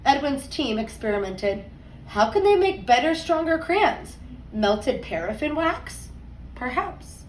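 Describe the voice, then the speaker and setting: A woman teacher reading a picture book aloud to young children, expressively and deliberately, stressing words and adding conversational asides. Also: high-pitched, big pitch swings. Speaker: second grade teacher. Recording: roomy echo, distant mic, faint noise.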